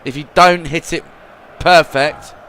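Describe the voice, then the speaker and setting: Bright-voiced man commentating a football game. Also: on the high side, a little slow. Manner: rambling, repeats words, swings between gloom and excitement. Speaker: video game YouTuber.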